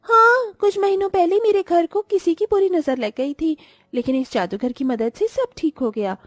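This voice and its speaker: Woman acting a high, gossipy village woman. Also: moderate pace, no room sound, clean studio sound. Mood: confident. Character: gossiping, confident village woman recommending magic.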